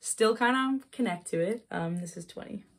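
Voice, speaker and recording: A woman of twenty-one talking casually from her childhood bedroom at her parents' house, introducing her solo set with quick fillers before playing. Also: mid-range voice. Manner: chatty and upbeat, quick with fillers. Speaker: singer-songwriter. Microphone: webcam at close range. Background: her own acoustic guitar.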